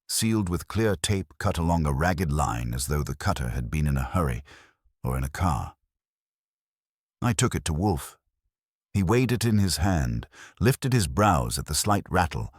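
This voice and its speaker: A man's voice: very deep voice, dry recording, clean studio sound. A man reading a detective story aloud as a scripted audiobook narration.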